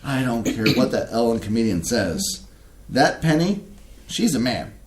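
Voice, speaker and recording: A stubborn, old-fashioned father, read by a man in a staged reading. He talks slowly and blusters, his pitch swinging up and down. The room around him is echoey. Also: sing-song. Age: older.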